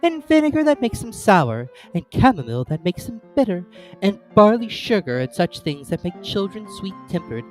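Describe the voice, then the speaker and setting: Brief: reader playing a cautious, well-mannered girl, lively intonation. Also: medium pitch, moderate pace, soft background music, voice pushed up, natural voice. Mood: polite. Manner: polite, careful, a little prim. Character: polite, cautious young girl.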